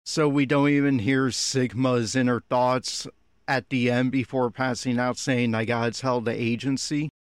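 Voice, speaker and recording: A man with a middling pitch delivers critical commentary in the style of a reaction podcast. His manner is blunt and exasperated. The recording is dry and close, with little room sound. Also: little pitch movement, a little slow, smooth, no echo. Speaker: podcast host giving audio commentary.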